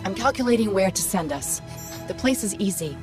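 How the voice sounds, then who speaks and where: An actress playing a witty, upbeat little AI companion in a video game, brisk and confident. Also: female voice, mid-range voice, lively intonation, close to an everyday voice. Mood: urgent, explaining. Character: witty, upbeat female Ghost, small AI companion. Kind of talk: scripted video game voice acting. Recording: music playing behind the voice.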